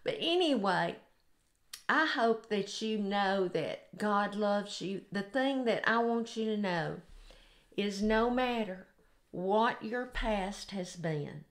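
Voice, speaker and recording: An older woman with a Southern US accent, sharing an informal devotional in an earnest, deliberate way. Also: mid-range voice, lively intonation. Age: 60s or older. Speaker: host of a devotional video. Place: home kitchen, indoors.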